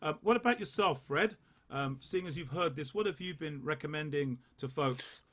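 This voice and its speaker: A man leading a choir rehearsal, coaching the basses and singing short phrases to demonstrate. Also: fairly bright tone. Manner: unhurried, sings phrases to demonstrate. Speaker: choir leader.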